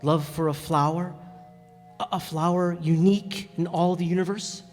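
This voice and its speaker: A man acting a gentle, reflective narrator with a slightly hesitant delivery in a radio play. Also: fairly high pitch, fairly even intonation, normal speed, lightly acted. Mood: gently curious. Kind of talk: dramatic storytelling in a radio play. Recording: echoey hall with music bed.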